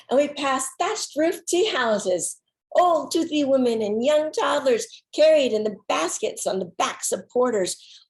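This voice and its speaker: A woman giving a dramatic reading of an autobiographical monologue, with theatrical, deliberate phrasing and pauses. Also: fairly high pitch, a little mellow. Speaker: solo stage performer.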